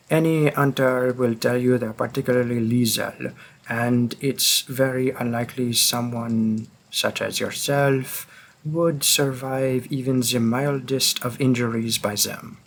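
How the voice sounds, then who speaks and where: Man playing a husky, slow-spoken vampire hunter. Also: mid-range voice. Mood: grave, explanatory. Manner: deliberate, probing, wry. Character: centuries-old male vampire hunter, himself a vampire, coolly menacing. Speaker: audio roleplay performer. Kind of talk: slow dramatic second-person roleplay monologue.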